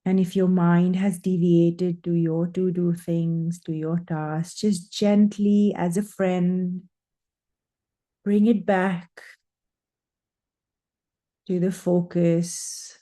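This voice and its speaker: Calm woman talking people through a breathing meditation, super slow, dark mellow voice. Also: fairly low pitch. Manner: slow, soothing and drawn out, with long pauses. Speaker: meditation guide.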